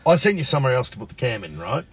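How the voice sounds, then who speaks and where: A man's voice: medium pitch, big pitch swings, rapid-fire, a bit bright, husky. An Australian man hosting a casual podcast, teasing his guest and swearing freely in joking banter. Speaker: podcast host. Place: radio booth.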